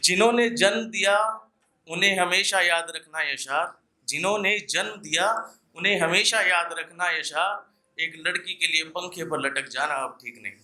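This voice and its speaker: A man's voice: high-pitched, moderate pace, pushed and bright. A young man performing poetry live at an open mic, declaiming couplets with repeated lines and casual asides to the audience.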